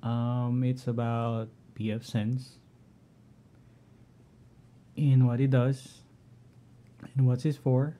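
A middle-aged man with a Filipino accent, talking through his home network setup in a halting way, often clearing his throat. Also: mid-range voice, level tone, dark tone. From Philippines. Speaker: tech vlogger. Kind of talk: casual screen-share walkthrough.

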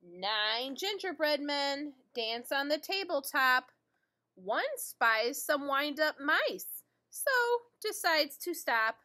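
A middle-aged woman teacher reading a children's picture book aloud in a deliberate singsong way, addressing young children. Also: sits high, expressive, pushed and bright. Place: indoor school classroom.

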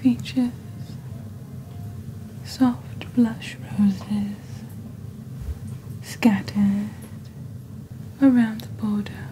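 A mysterious witch, acted by a woman, presents magical wares in calm ASMR narration. The voice is soft, breathy and dark-toned, close to a whisper. Each phrase comes slowly and deliberately, close to the mic, over faint background ambience. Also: on the high side, a little hiss or hum, rough recording. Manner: slow, deliberate, hushed, theatrical presenting. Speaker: host of a fantasy shopping channel. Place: small dim indoor set. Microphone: close fluffy-covered studio microphone.

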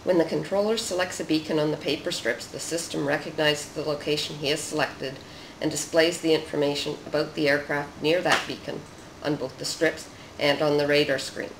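A narrator reading a scripted research demonstration in a measured, explanatory way. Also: mid-range voice, steady tone. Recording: roomy echo with noticeable background noise.

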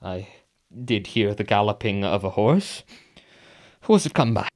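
A desperate, ruthless king, played by an actor, declaiming intensely in classical radio-play style. His delivery is slow, with a very animated pitch that rises and plunges. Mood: horrified, agitated. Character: tormented, commanding warrior king, desperate and ruthless. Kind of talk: Shakespearean radio drama acting.

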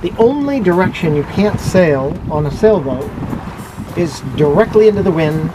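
A man's voice: high-pitched, lively intonation, moderate pace, over music, rough recording. An older man, a sailboat captain, giving matter-of-fact practical explanations outdoors on deck. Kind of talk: casual instruction interview. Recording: wind and boat noise outdoors.

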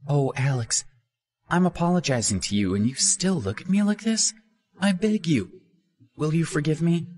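A man voicing a spoiled, pushy young woman streamer in a high, demanding, pleading tone. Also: expressive, moderate pace, darkish tone, lightly acted. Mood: indignant pleading.

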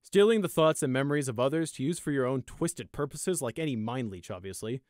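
A man's voice: medium pitch, animated, brisk, a little mellow, no echo, studio quality, close to an everyday voice. A man voicing a stern male unicorn guard with a firm, scornful, clipped delivery in a storybook reading. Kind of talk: audiobook character acting.

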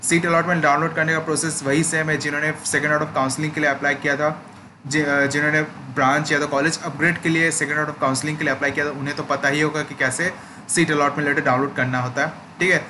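A man's voice: a little high, flat delivery, brisk, noisy background. A young man hosting a screen-recorded tutorial, explaining steps patiently and checking in often with listeners. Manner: explanatory, repeats "ठीक है" check-ins. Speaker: education channel host.

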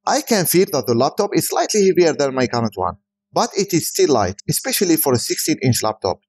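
A man's voice: a little high, sing-song, brisk, darkish tone, breathy edge. A man who is a native Arabic speaker, giving a scripted voice-over product review as a tech reviewer.

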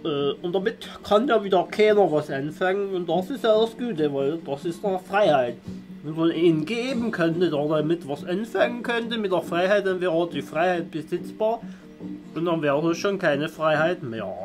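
A man in his thirties improvising a philosophical monologue to camera in a halting, contemplative manner, recorded indoors in a small room. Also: high voice, animated, faint music behind. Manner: halting, contemplative, with long pauses. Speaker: vlogger musing on spirituality.

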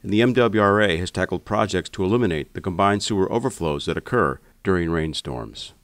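Deep-voiced male documentary narrator. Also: expressive, no echo. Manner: formal, measured delivery. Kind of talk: scripted narration.

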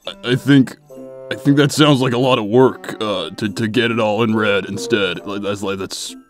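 A man voicing a dense, gruff, easily flustered young echidna warrior in a comic dub, earnest and stammering. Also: fairly low pitch, moderate pace, soft background music, close to an everyday voice.

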